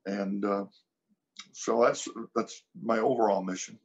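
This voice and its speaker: A man giving personal testimony as a panel guest, earnest, with dramatic emphasis and pauses. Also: fairly low pitch, steady tone, dull audio. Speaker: cannabis farm brand founder, panel guest.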